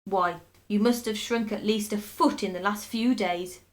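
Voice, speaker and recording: A woman playing a gloating, spiteful old husband in a children's storybook, taunting with drawn-out mock solemnity. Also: medium pitch, close to an everyday voice.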